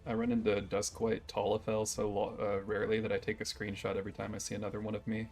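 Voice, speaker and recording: A man's voice: fairly low pitch, completely flat delivery, fast, creaky, a little mellow. A man from Minnesota with a Minnesotan accent, joking around in casual voice chat with his raid group. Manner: joking, easygoing. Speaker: raid member. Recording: game music faint behind voice chat.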